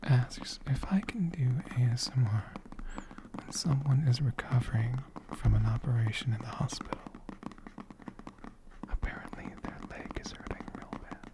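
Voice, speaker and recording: A man voicing an ancient, gentle, affectionate dragon in soft-spoken whispered ASMR, lingering over words and softly repeating them. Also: medium pitch, normal speed, lightly acted, low-quality recording. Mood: soft, soothing. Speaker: ASMR host answering viewer questions. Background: computer keyboard typing.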